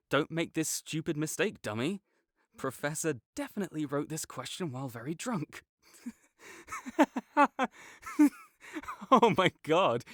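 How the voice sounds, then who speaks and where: A man's voice: medium pitch, dry recording, clean studio sound, close to an everyday voice. A young man voicing a competitive male student rival who teases and bosses, then turns flustered and defensive. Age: young adult. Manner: teasing and bossy, gets flustered and defensive. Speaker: voice actor in an audio roleplay. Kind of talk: audio roleplay acting.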